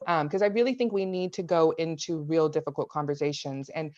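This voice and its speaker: A woman from South Carolina giving her views as a guest in a political discussion, earnest and measured, over a video call. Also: low voice, steady tone, darkish tone, no echo, muffled recording. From United States (South Carolina).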